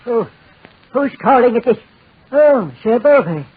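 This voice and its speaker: An actor playing a poor, humble locksmith, bewildered and deferential, in a 1940s radio drama. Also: male voice, very high-pitched, expressive, measured pace, husky, heavily acted. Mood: puzzled. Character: humble, flustered poor male French locksmith. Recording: tinny 1940s radio recording.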